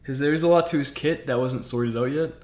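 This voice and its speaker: A man's voice: medium pitch, fairly quick, a little mellow. A man hosting a casual gaming stream chat, talking in a laid-back, informal way and often repeating himself. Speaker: streamer, host.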